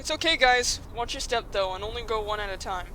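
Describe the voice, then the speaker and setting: A woman's voice: a little high, animated, brisk, bright, forceful tone, a little hiss or hum, poor audio. A woman playing a brave, caring, level-headed human child in an audio drama, in a plain, natural way. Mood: calm, reassuring. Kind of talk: audio drama character acting. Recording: music under the dialogue.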